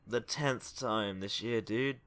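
Man playing a very slow, sleepy teenage boy. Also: fairly low pitch, fairly bright tone, a little hiss or hum. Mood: weary. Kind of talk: amateur audio drama acting.

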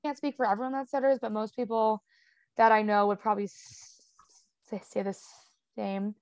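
A woman's voice: mid-range voice, normal speed, a little mellow, smooth, no echo, lo-fi sound. A young woman with a stutter, talking casually as an interview guest, with sound repetitions, blocks and lots of "um" and "like".

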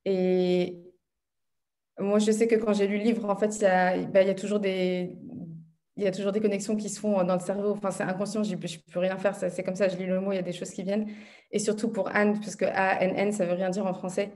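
A woman's voice: mid-range voice, flat delivery, a little fast, mellow. A young woman in her twenties, a student interviewer, talking in a chatty way over a video call from her room at home. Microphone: video call laptop mic.

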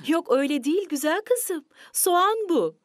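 A woman voicing a gentle, patient young mother in a children's cartoon, explaining kindly, with music behind her. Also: very high-pitched, expressive, moderate pace, no room sound, clean studio sound. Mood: gently explaining.

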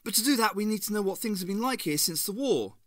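A tough young woman, urgent and persuasive, her voice pitched high and pushed loud, as a man voices her in a dry, close read. She sounds quick and defiant. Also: lively intonation, no room sound.

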